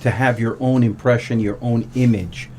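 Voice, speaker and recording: A man's voice: mid-range voice, steady tone, normal speed, a little mellow, slight background noise. A man hosting a radio show in a casual, conversational way. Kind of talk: casual chat.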